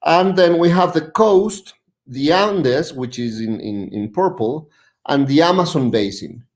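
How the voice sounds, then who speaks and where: Halting man. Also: fairly high pitch, expressive. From Ecuador. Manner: halting, searching for words. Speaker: guest, tourism representative. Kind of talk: presentation on a video call.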